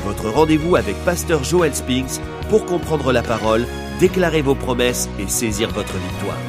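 A man's voice: medium pitch, lively intonation, normal speed. A man announcing a scripted programme introduction as a show announcer. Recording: loud background music. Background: loud music.

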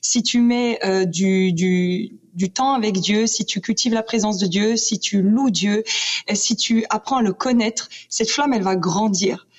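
A woman's voice: medium pitch, measured pace. A woman from British Columbia, a guest talking passionately about her faith, with many restarts. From Canada, British Columbia. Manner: passionate, animated, restarts often. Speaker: guest speaker. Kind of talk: casual chat. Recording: dull lo-fi sound.

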